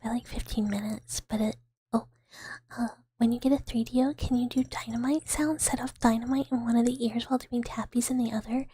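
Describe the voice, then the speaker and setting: Breathy whispering woman, mellow and high. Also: fairly even intonation, a little fast. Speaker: ASMR streamer chatting with viewers. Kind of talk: whispered ASMR livestream chat. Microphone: close ASMR microphone.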